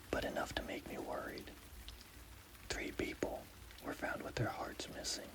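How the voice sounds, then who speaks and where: A man narrating a scary story as ASMR in a breathy, unvoiced whisper. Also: poor audio.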